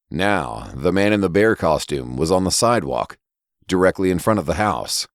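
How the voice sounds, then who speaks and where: A man reading scripted fiction as a narrator, in a deliberate, expressive storytelling manner. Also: no room sound, studio quality. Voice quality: low-pitched voice. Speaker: story reader and podcast narrator.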